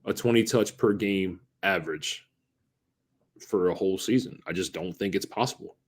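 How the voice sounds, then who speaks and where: A man's voice: low-pitched, normal speed, slightly rough. A man co-hosting a casual sports podcast, making his points emphatically and repeating them for stress. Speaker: podcast co-host.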